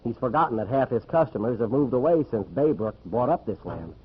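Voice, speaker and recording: A man's voice: mid-range voice, lively intonation, brisk, lightly acted. An actor playing a plain-spoken, earnest man in an old-time radio mystery. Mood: wry. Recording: muffled, faintly noisy old radio recording.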